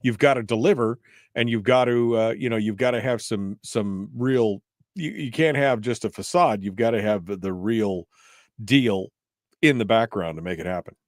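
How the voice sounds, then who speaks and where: A man's voice: on the low side, normal speed, no echo. Male talk radio host, dry close sound. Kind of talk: political talk radio conversation.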